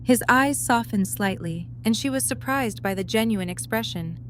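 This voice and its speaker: A woman's voice: fairly high pitch, normal speed. A woman reading scripted story narration as the narrator of an audio drama. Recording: faint background hum and noise.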